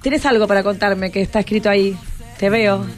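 A woman's voice: mid-range voice, little pitch movement, a little fast, faint music behind. An Argentine woman hosting an informal radio interview, chatty and encouraging, often thinking aloud. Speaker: radio host interviewing students. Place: radio broadcast at a microphone.

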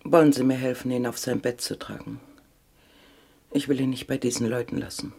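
A numb, grieving wife in a radio crime play. Her voice is very husky and breathy. She speaks in short, hushed sentences, grave and drained. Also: rumbling low, fairly quick, full character voice. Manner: hushed, terse, flat. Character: grave, subdued wife of a gunman, numb with grief. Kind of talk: German radio crime drama acting.

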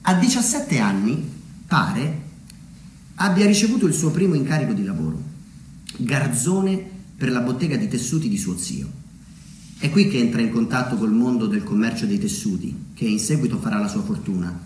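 A man delivering a theatrical narrative monologue, deliberately, with dramatic pauses and emphasis. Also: medium pitch, sing-song, a little mellow. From Umbria, Italy. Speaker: solo theatre storyteller. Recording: roomy echo with background noise.

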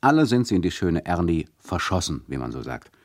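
An actor playing a wry, deadpan narrator who explains a radio play in a mock-instructive manner. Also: male voice, low-pitched, brisk, gravelly, no echo, studio quality, full character voice. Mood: explanatory.

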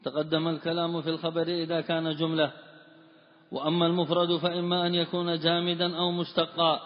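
A man reading a grammar commentary aloud to his teacher in a steady chanting cadence. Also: fairly high pitch, fast. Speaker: student reading the text aloud. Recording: dull lo-fi recording with long hall reverb.

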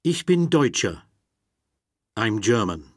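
A man reading phrases for a language course, slowly and deliberately, recorded close to the mic. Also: fairly low pitch, very animated, husky, dry recording. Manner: slow, deliberate, carefully spoken phrases.

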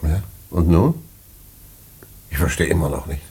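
An actor playing a stern prison director in a German radio play, officious, curt and skeptical, with formal bureaucratic phrasing and a husky voice. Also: male voice, rumbling low, a little slow, dark tone, full character voice. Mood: skeptical, probing.

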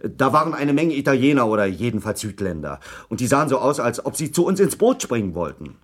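An actor in a German radio documentary drama playing a defensive British ship's officer testifying at an inquiry, answering firmly, formally and in clipped phrases with some hesitation. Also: male voice, medium pitch, big pitch swings, quick pace. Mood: guarded.

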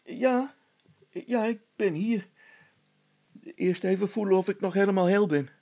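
A man voicing an eager, level-headed boy, the son of a handyman, in a storybook, in a matter-of-fact, high-pitched voice. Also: unhurried, warm tone.

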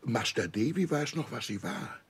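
An actor in a radio play playing a warm-hearted, simple seafaring uncle with a rough, husky voice and an emotional, halting way of telling things. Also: male voice, medium pitch, big pitch swings. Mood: tender, proud. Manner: emotional, halting, emphatic storytelling with exclamations. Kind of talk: 1960s German radio play acting.

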